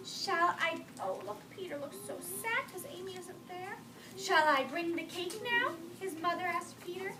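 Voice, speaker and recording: A woman teacher reading a picture book aloud to young children, expressive, pausing to explain words and ask questions. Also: very high voice. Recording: echoey noisy room from far off.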